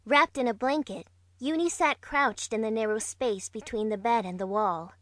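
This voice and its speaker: Woman voicing an anxious, high-voiced young heroine. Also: normal speed, dry recording, natural voice. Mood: tense, heartfelt. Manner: earnest, dramatic storytelling. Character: young woman, emotional, anxious plane crash survivor. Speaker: narrator and lead character in an amateur fan dub. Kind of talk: scripted first-person dramatic narration. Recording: music playing behind the voice.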